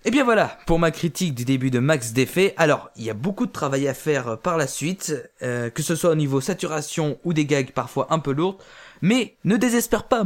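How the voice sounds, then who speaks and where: A man with a slightly higher voice talks through a review of an amateur audio drama. He hesitates often, filling gaps and restarting his sentences as he goes. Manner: hesitant, many fillers and restarts. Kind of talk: casual spoken review.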